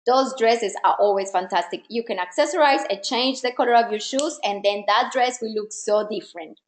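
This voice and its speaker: A woman's voice: fairly high pitch, normal speed, echoey room. A woman style coach whose first language is not English, giving an encouraging, direct masterclass to women. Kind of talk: live online masterclass.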